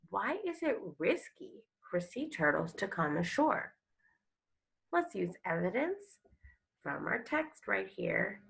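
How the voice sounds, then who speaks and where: A woman teacher reading a picture book aloud to young pupils, expressive and instructive, pausing to explain and ask questions. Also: medium pitch, creaky, breathy edge, rough recording. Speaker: elementary school teacher.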